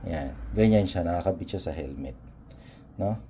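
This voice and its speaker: A man's voice: low-pitched, animated, moderate pace. A Filipino man presenting a product in a casual, unscripted review, hesitating as he searches for words and mixing Tagalog with English. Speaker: motorcycle vlogger presenting a product. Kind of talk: casual unboxing and review chat. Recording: noticeable background noise and room ambience.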